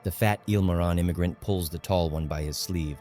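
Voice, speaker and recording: Deep-voiced male audiobook narrator. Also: fairly quick, warm tone. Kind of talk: scripted literary narration. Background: faint music.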